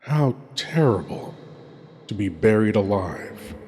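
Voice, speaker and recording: Man as slow, brooding horror host in reverb. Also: lowish voice, big pitch swings, over loud music, exaggerated voice. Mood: brooding, ominous. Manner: slow, solemn, dramatic. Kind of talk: scripted theatrical horror monologue. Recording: long cavernous reverb, faint noise.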